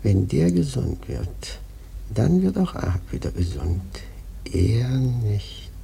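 An actor playing a ragged, half-mad sailor prophet in a radio play, raspy and creaky, taunting and cryptic. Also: male voice, mid-range voice, sing-song, takes it slowly, mellow, heavily acted. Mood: bitter, agitated. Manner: taunting, cryptic, erratic muttering. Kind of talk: German radio play acting.

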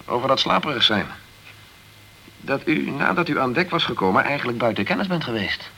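An actor playing a sceptical male investigator in a radio crime drama, composed and persistent, with measured, pointed questioning. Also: medium pitch, expressive, creaky, bright, forceful tone, a little hiss or hum, poor audio. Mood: measured, regretful.

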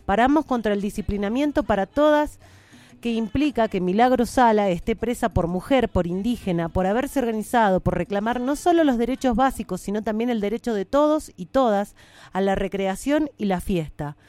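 A woman's voice: fairly high pitch, lively intonation, normal speed, a little mellow. Woman reading a manifesto. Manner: earnest, declamatory reading. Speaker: feminist activist. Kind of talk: reading a protest manifesto aloud.

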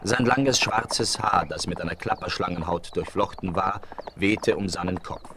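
A man narrating a Wild West adventure for a 1970s radio play, in a measured, literary, descriptive manner. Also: low voice. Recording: noisy 1970s vinyl rip.

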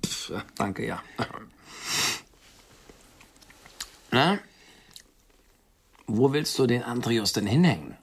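A man's voice: medium pitch, expressive, unhurried, gravelly, husky, full character voice. An actor playing a touchy, nervous, sardonic middle-aged man in a German radio drama, wry and hesitant, often repeating himself. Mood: wry, probing.

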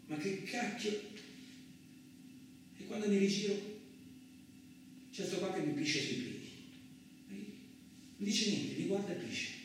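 An actor playing a frightened, injured farm labourer, telling his story in the first person as a halting, repetitive theatrical radio monologue. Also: high-pitched, slight background noise. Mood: tense. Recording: very echoey, distant, loud music behind. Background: music.

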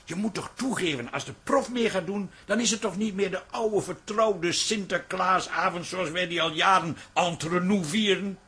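A man playing a grumbling, excitable, protesting pub landlord and husband in a radio comedy drama, with a husky voice. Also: high voice, normal speed, few pauses, exaggerated voice. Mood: defensive.

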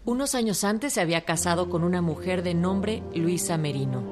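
A woman's voice: fairly low pitch, moderate pace, loud music behind the voice. A woman with a Mexican accent reading scripted narration for a historical radio documentary.

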